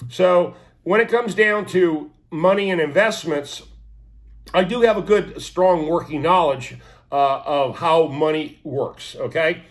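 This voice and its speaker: A man in his sixties talks straight to his viewers in a loose, rambling way, full of fillers and false starts. His voice sits a little high for a man, and his nose sounds sniffly and stuffed-up. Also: takes it slowly. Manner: rambling, many fillers and restarts. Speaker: vlogger. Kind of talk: casual talk to camera.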